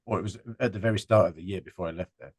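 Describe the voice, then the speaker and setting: A man chatting casually as a panel guest, with a jokey, self-deprecating, animated manner. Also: sits low, racing, husky, dry recording, studio quality. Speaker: audio drama writer and panel guest. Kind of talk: casual group chat.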